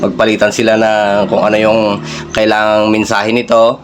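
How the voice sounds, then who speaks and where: Low-voiced Filipino man over background noise. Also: completely flat delivery. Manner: halting, explanatory, informal. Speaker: vlogger, radio hobbyist. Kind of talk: casual hands-on tech demonstration.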